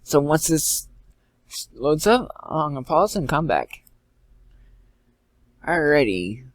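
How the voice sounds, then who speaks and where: A man giving an informal step-by-step software tutorial in a halting way, repeating and correcting himself as he goes. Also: fairly high pitch, very animated, no echo. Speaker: tutorial presenter. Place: indoors, screen-recording voice-over.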